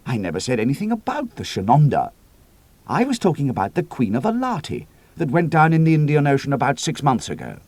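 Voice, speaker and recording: A man's voice: mid-range voice, huge pitch swings, fairly quick, a little mellow, no room sound, voice pushed up. A man reading the part of a stern, matter-of-fact officer in a spoken story, in a dry, correcting way.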